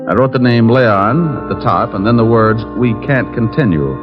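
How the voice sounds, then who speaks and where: An actor playing a wisecracking, smooth-talking private detective in the manner of 1940s radio drama. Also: male voice, mid-range voice, moderate pace, natural voice. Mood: narrating. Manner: glib, teasing, smooth-talking. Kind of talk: 1940s radio detective acting with first-person narration. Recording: dull vintage radio recording with music.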